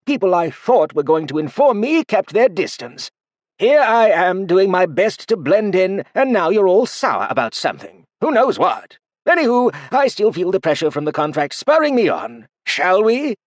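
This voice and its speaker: A man's voice: high-pitched, very animated, normal speed, dry recording, studio quality, pitched above the performer's own voice. A man voicing a sly, playful old male cultivator who teases with feigned innocence. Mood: wry.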